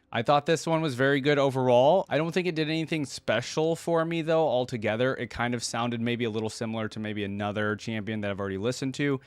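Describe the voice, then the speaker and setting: A man's voice: medium pitch, clean tone, dry recording. A man hosting a reaction video, commenting casually and informally as he thinks aloud.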